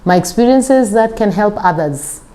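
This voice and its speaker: A middle-aged Kenyan woman, a travel coach, talking casually in an interview with thoughtful pauses. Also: mid-range voice, expressive, warm tone. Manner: thoughtful, with many pauses and fillers.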